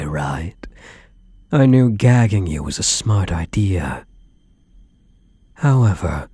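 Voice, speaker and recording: A man playing a possessive, obsessively doting kidnapper in an intimate ASMR roleplay, hushed and menacingly tender, often slipping into whispers. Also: on the low side, breathy edge, dry recording, exaggerated voice. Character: possessive male yandere kidnapper, obsessively doting on captive spouse.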